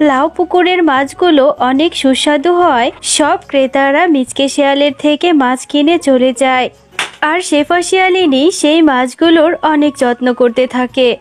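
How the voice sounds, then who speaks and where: A woman's voice: high voice, normal speed. A woman giving scripted narration for a children's cartoon story.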